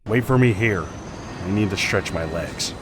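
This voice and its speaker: Man acting a deep-voiced scheming villain. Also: normal speed, heavy background noise, natural voice. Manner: composed, scheming. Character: calculating, self-assured male villainous conspirator. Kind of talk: comic book dub acting.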